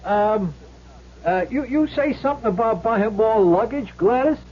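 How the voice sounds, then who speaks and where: A meek, confused stooge who hesitates and stammers. His voice is high and swings widely in pitch. It is old radio drama on a dull recording. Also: animated, unhurried, slight background noise. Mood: timid, puzzled. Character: meek, bewildered henpecked young stooge of a gangster.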